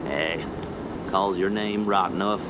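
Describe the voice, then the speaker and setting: An actor playing a rough, superstitious backwoods hunting guide in a radio horror play, folksy, blunt and foreboding. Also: male voice, low-pitched, takes it slowly. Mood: ominous. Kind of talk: radio horror drama acting. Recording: loud steady outdoor background noise.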